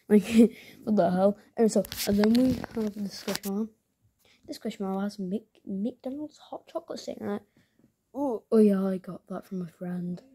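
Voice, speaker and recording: A woman's voice: medium pitch, creaky, dark tone, a little hiss or hum. A young woman, chatting casually in her bedroom at night while showing plush toys to a handheld camera, rambling with many fillers and dropping into whispers. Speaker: vlogger.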